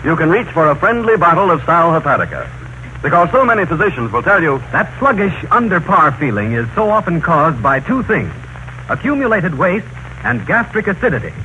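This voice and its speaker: Bright, emphatic 1930s radio announcer, man. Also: fairly high pitch, sing-song, brisk. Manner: emphatic, persuasive, carefully phrased. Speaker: radio announcer reading a sponsor advert. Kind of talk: 1930s scripted radio advertising. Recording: scratchy, dull 1930s radio recording.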